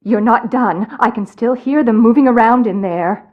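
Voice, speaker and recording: An actress playing a high-strung, irritable, squeamish woman boss in a video game, commanding and exasperated. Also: moderate pace, phone-quality sound, natural voice. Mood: bossy, anxious.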